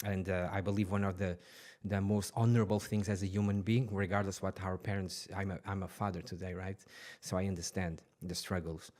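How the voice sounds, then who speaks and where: Low-voiced man in his forties from Portugal, podcast guest, earnest, halting, restarts often. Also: flat delivery, a little mellow. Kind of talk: personal life story.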